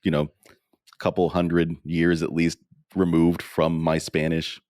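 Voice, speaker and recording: A man's voice: low voice, flat delivery, measured pace, a little mellow, no echo, studio quality. A man hosting a podcast, talking in a casual, conversational way. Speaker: podcast host.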